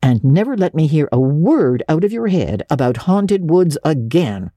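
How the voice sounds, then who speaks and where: A woman plays an unyielding older guardian from a classic storybook. Her voice is low, dark and husky, and she speaks in a firm, clipped, unsympathetic way with no patience for nonsense. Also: very animated, normal speed, no echo, clean studio sound. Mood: firm, scolding.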